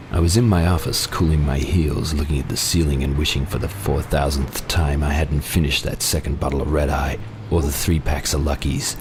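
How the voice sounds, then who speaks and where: A down-on-his-luck noir private eye, voiced by a man narrates with a very deep, deadpan drawl. His delivery is wry and full of puns. Noise is clearly heard behind him. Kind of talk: parody noir radio serial narration.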